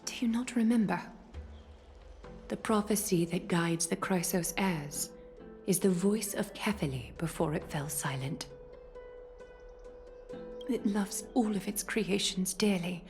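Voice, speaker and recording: Solemn female ruler, slightly low pitch, stately, deliberate, archaic phrasing, video game, faint music. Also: a little mellow. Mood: composed, commanding. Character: solemn, regal adult woman leader speaking of prophecy. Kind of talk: English video game voice acting, formal and measured.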